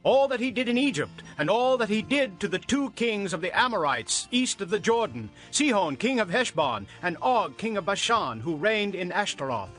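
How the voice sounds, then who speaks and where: A man's voice: sits high, very animated, moderate pace, few pauses, fairly bright tone, soft background music. A man playing an earnest, eager ancient delegate, declaiming in a dramatized audio Bible. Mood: earnest, persuasive.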